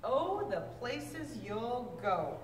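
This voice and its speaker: A woman reading a children's book aloud to a class, with expressive, deliberate storybook phrasing. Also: a little high. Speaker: teacher reading aloud to sixth grade students. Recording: echoey hall, distant mic, faint noise.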